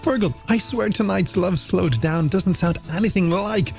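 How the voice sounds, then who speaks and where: A man's voice: sits high, moderate pace, creaky, darkish tone. An Irish man presenting a late-night radio music show in a playful, theatrical, scripted way with flowery phrasing.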